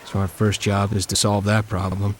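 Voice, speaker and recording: Man playing a low-voiced weary young American Marine. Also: level tone, moderate pace, background noise, lightly acted. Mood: grim, resolute. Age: young adult. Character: weary, earnest young American WWII Marine writing home. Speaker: narrator. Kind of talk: scripted letter narration. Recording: music playing behind the voice. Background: music.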